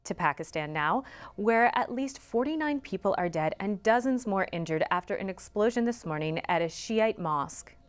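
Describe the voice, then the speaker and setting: A young woman anchoring a college newscast from a studio newsroom, reading scripted headlines in a crisp, even newscaster manner. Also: medium pitch. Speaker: student news anchor.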